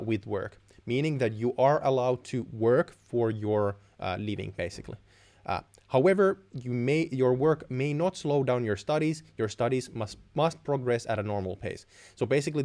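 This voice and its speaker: A man's voice: mid-range voice, darkish tone. A young man, a native Finnish speaker with a Finnish accent, hosting an informal Q&A and explaining things with frequent self-corrections. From Finland. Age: young adult. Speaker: live stream host. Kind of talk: informal Q&A advice.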